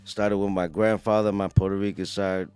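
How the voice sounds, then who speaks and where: A man's voice: low voice, flat delivery, moderate pace, a little mellow, clean tone, soft background music. A man, a podcast guest speaking in a laid-back way with brief answers. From Lawrence, Massachusetts. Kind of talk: casual chat.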